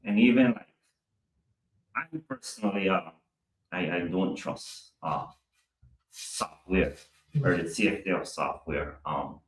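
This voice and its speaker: A man talking on a podcast in an animated, emphatic way and swearing casually. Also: sits low, slow pace, pauses often. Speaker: podcast guest, cybersecurity specialist. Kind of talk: casual chat. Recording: echoey room, mic at a distance.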